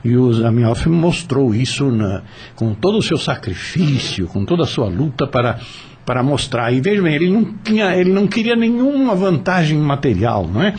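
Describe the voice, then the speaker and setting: An older Brazilian man hosting a radio panel discussion, speaking haltingly and repeating words as he explains. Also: fairly high pitch, sing-song, a little mellow, husky. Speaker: radio host.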